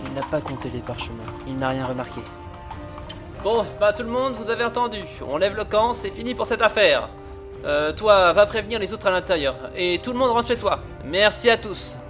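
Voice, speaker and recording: A put-upon police inspector in an audio drama. He is flustered and exasperated, stammering and grumbling in a fairly high voice, with music underneath. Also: lively intonation, moderate pace, a bit bright. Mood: agitated, exasperated. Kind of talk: French audio drama acting.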